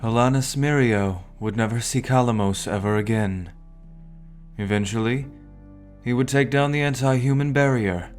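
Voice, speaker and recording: A man's voice: medium pitch, moderate pace, soft background music. A man narrating the epilogue of a story from a script.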